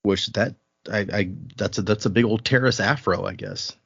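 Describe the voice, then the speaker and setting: A man's voice: fairly low pitch, normal speed, gravelly, fairly bright tone, breathy edge, dry recording, lo-fi sound. A man hosting a podcast, chatting informally as he recaps a book and reads bits of it aloud. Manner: conversational, informal. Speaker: podcast host. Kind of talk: casual chat recapping and reading from a novel.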